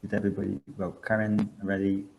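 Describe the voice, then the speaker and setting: A man's voice: low-pitched, completely flat delivery, quick pace, vocal fry, dull audio, slight background noise. A man speaking at a conference session as a researcher and co-facilitator, hesitant, with many restarts and fillers, over a video call.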